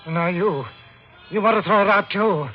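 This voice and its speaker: A man's voice: sits high, normal speed, lo-fi sound, soft background music. A man playing an obsessive, volatile but deferential butler in an old-time radio drama, with intense, brittle delivery. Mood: bitter, desperate.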